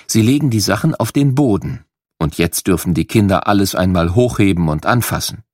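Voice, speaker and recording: A man reading a scripted children's story, animated and clearly enunciated for young listeners. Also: sits low, fairly quick, no echo, clean studio sound.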